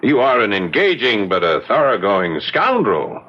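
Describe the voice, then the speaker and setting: An actor playing a shrewd, wry gentleman sleuth in a radio drama, with measured, ornate, old-fashioned phrasing. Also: lowish voice, big pitch swings. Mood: teasing, grave. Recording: dull, lo-fi radio drama recording.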